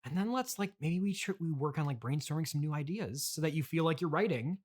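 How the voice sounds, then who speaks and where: A man hosting a comedy interview podcast, speaking in a halting, polite, easily flustered way. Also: fairly high pitch, animated.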